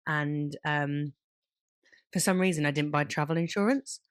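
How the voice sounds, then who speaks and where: A woman's voice: deep voice, measured pace, dry recording, studio quality. A young woman co-hosting a podcast in an informal, chatty way. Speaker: podcast co-host. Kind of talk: casual chat.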